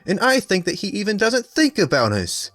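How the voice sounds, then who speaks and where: Man doing a haughty, scolding high-pitched young woman. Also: wildly swooping pitch, moderate pace, a bit bright, soft background music, full character voice. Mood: bitter. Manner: scolding, imperious. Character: haughty, jealous, sharp-tongued young woman.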